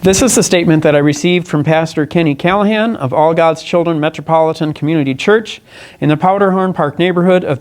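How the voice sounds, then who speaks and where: A man giving a prepared press conference statement, speaking in an earnest, deliberate way with halts and self-corrections. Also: on the high side, darkish tone. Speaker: congressional candidate. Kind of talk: press conference statement, partly read aloud.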